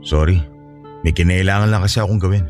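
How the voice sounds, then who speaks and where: A man's voice: low-pitched, quick pace, soft background music, natural voice. A man acting in a radio drama as a weary father leaving his partner, speaking bluntly and defensively. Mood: guilty, subdued.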